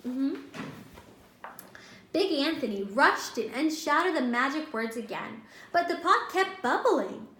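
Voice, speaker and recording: Lady teacher reading a kids story, high voice, echoey room, stops to ask stuff. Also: slight background noise. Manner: expressive, pausing to question and explain to children. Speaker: teacher reading a picture book aloud. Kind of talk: animated storybook read-aloud to young children. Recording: roomy, echoing indoor sound.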